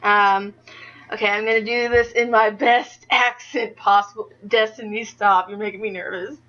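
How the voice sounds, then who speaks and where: A woman's voice: mid-range voice, fairly even intonation, normal speed, pushed and bright. A young woman doing a casual amateur interview, speaking in a hesitant, giggly way. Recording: dull lo-fi audio.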